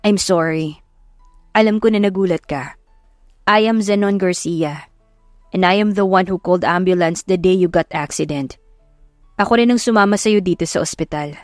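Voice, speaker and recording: A considerate male rescuer voiced by a woman narrator in an audio romance. He speaks gently and apologetically, explaining himself, in a dry recording with music behind. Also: lowish voice, moderate pace, long pauses, dry recording, lightly acted. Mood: apologetic, calm. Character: concerned, apologetic young man, a calm rescuer.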